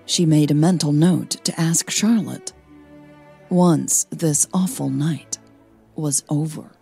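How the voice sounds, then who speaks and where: A woman's voice: deep voice, slow pace, gravelly, warm tone, soft background music. A woman hosting a podcast and narrating a romance story, sounding a little tired. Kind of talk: scripted romance narration and casual podcast outro.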